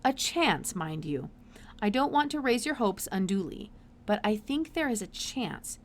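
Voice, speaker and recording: A woman voicing a brisk, curious, absent-minded older male scientist in a storybook, with hesitant mutters and emphatic exclamations. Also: medium pitch, sing-song, slight background noise. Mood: eager, fussy.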